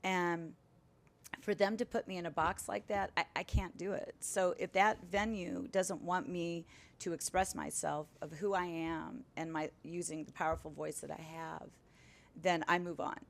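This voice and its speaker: Middle-aged singer lady, lower voice, chatty, candid, lots of um's. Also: moderate pace, creaky. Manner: candid, animated storyteller with frequent fillers. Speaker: singer and songwriter guest. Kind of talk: casual interview chat.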